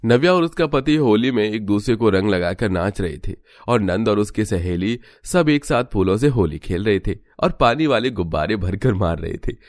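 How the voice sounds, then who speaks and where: Low-voiced man narrating a story. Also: lively intonation, moderate pace, few pauses, a little mellow, dry recording, studio quality.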